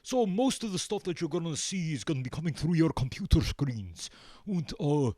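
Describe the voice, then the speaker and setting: A man answering casually as a musician being interviewed, hesitant and pausing often. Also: a little high, expressive, dark tone, no room sound. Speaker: band member, interview guest.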